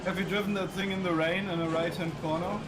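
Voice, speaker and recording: Man in chat over loud noise. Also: high voice, fast. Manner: relaxed, conversational, sharing driving tips. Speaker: fellow sim racer in voice chat.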